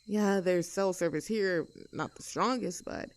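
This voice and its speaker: A woman's voice: lowish voice, measured pace, dry recording. A woman voicing a cheerful, easygoing young tomboy friend in an intimate roleplay audio drama, talking in a casual, chatty and affectionate way. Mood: reassuring, upbeat.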